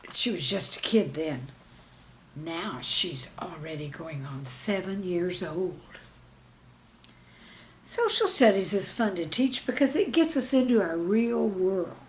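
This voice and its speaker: A woman, a teacher, reading her own scripted humorous anecdotes in a deliberate storytelling manner. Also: mid-range voice.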